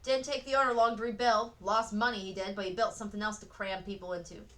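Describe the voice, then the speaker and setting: A woman reading aloud, voicing a loud working-class neighbor who yells from her window. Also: medium pitch, steady tone, fast talker, natural voice. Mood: bitter. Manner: yelling from a window.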